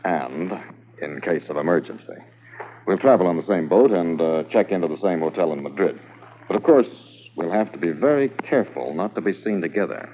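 A man playing a calm, methodical police sergeant in a 1950s radio drama, on a muffled, hissy transcription. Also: deep voice. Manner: measured, calm and practical. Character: steady, methodical Mountie sergeant.

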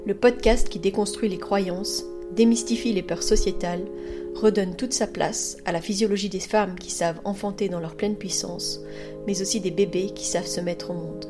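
A woman's voice: medium pitch, fairly even intonation, normal speed, echoey room, music in the background. A woman from France with a French accent, hosting a podcast as a midwife and talking in a monologue.

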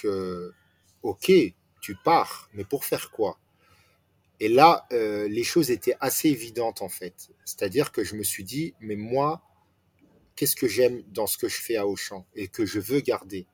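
A man in his forties from France speaks as an interview guest in a slightly low voice. He tells his story casually and explains it step by step, often putting questions to himself and then answering them. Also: slow, husky. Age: 40s.

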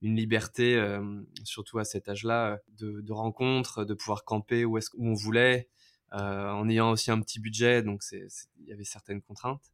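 A man's voice: on the low side, little pitch movement, moderate pace, smooth. A man in his thirties from France, a podcast guest telling his life story in a casual, hesitant way with many fillers and restarts. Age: 30s.

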